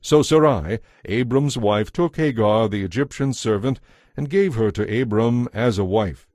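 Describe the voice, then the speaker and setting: A man narrating scripture from a script as a solemn, measured storyteller. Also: medium pitch, darkish tone, no echo, clean studio sound.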